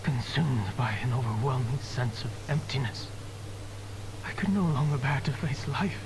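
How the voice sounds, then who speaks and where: A man playing a weary, despairing scholar's lingering spirit in a video game, speaking formally and gravely in measured phrases. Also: medium pitch, noisy background, natural voice. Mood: bleak, weary. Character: weary, despairing scholar's lingering mind fragment, grandfatherly, solemn. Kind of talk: video game dramatic monologue. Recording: game music behind.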